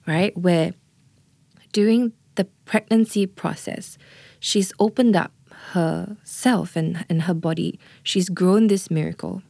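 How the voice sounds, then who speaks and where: An earnest woman doula talking through birth and motherhood, pausing to ask "right?" as she goes. Her voice sits a touch low and is recorded dry and close, with no room sound. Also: creaky, warm tone, no room sound. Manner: earnest, often checks in with "right?" and "you know". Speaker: doula, guest. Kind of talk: explanatory interview talk.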